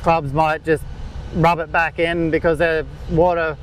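A man, explaining golf green work in a relaxed way with many fillers, recorded outdoors on a course. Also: fairly high pitch, measured pace, a little hiss or hum. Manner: laid-back, lots of "like" fillers. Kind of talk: casual explanatory interview.

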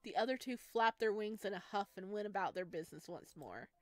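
A woman streaming a visual novel, reading the story aloud and breaking in with excited, gushing asides about cute things. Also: mid-range voice, fairly quick, no echo, soft background music. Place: close indoor mic, voice over game footage.

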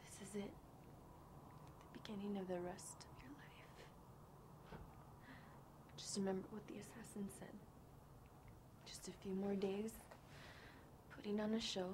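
A man's voice: little pitch movement, measured pace, pauses often, background noise. A man voicing a scheming wife who whispers to herself and fakes panic. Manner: hushed whispering, then shrill fake panic. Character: scheming wife faking distress.

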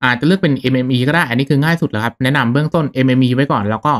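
A man hosting a casual software tutorial, talking through each step in a polite, instructional manner. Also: mid-range voice, racing.

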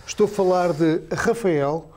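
A middle-aged man with a European Portuguese accent hosting a studio interview, briskly prompting his guest. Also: high voice, a little mellow, a little hiss or hum. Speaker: TV show host. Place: TV studio set, seated in a leather chair.

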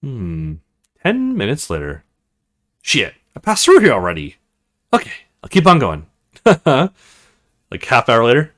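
A man playing a visual novel, commenting casually and reading lines aloud in an expressive, theatrical way. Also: mid-range voice, unhurried, long pauses. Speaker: let's play streamer.

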